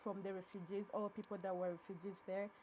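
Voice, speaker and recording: A woman in her thirties from Uganda, a guest on an interview, telling her story in a relaxed, soft-spoken way. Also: mid-range voice, fast talker, a little hiss or hum. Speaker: interview guest, charity co-founder. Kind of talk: casual interview.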